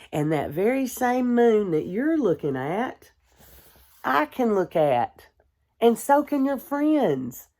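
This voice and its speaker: A woman, a kindergarten teacher, reading a storybook aloud to young children in a gentle, expressive way. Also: mid-range voice. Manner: gentle, hushed, expressive storytelling with deliberate pauses; addresses young listeners with simple questions.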